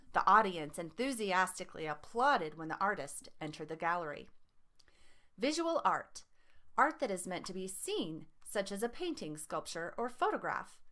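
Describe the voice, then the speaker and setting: A woman teacher reads scripted educational material aloud, setting out terms and examples in a deliberate, enunciated way. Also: mid-range voice, fairly bright tone. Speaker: teacher reading lesson aloud.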